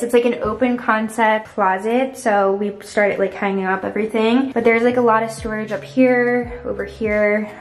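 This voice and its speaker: A woman's voice: medium pitch, slight background noise. A young woman in her twenties chatting bubbly and casually to a handheld camera, peppering her talk with fillers as she films in an empty apartment. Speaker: vlogger. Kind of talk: casual vlog apartment tour. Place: empty apartment with bare walls and hard floors. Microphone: handheld camera at arm's length. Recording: echoey empty room.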